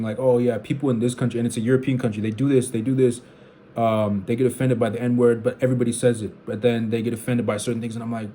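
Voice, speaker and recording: A man's voice: mid-range voice, warm tone, a little hiss or hum. A man, rambling through unfiltered thoughts as a vlogger, often restarting his sentences. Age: 20s. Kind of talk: unfiltered thinking out loud.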